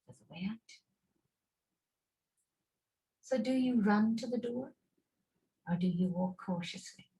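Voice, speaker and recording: A woman leading a guided relaxation and visualization over a video call, speaking in slow, soothing, paused instructions. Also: medium pitch, mellow, rough recording.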